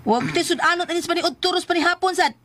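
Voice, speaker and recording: A woman's voice: very high voice, very fast, fairly bright tone. A woman with a Cebuano accent playing a bossy, exasperated wife who scolds insistently in a comic audio drama. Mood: angry, insistent. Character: nagging, bossy, exasperated wife pushing her timid husband. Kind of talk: comic Filipino radio-style drama acting.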